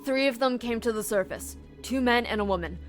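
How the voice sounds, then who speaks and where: A woman's voice: on the high side, fairly quick, faint music behind, natural voice. A woman voicing a level-headed, tough young heroine in a comic dub, earnest and firm. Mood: placating.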